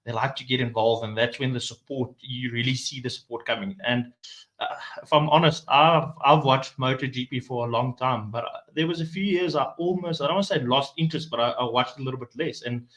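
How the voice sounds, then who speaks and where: A man's voice: medium pitch, a little fast, a bit bright, rough recording. A South African man, a native Afrikaans speaker with an Afrikaans accent, chatting on a podcast as a guest, often hesitating and restarting his sentences. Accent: Afrikaans-accented English. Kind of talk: casual podcast chat.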